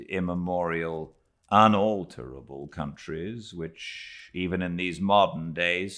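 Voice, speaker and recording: British man, low-pitched voice, slow pace, literary audiobook narration, measured dramatic delivery. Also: a bit bright. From Britain.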